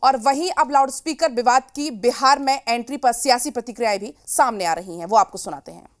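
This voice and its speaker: A woman's voice: fairly high pitch, brisk. A woman news anchor presenting live television news in an emphatic, repetitive way.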